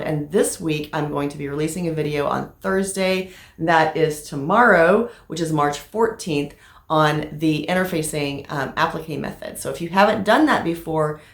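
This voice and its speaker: A woman with a slightly raspy voice from allergies, chatting casually about her crafting progress with lots of fillers. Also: low voice. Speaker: crafting vlogger. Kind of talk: casual show-and-tell vlog. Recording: echoey room.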